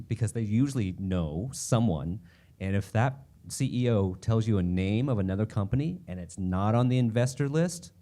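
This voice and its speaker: Male panelist, low mellow voice, practical and conversational, checks in with "okay?". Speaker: panelist investor. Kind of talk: panel advice.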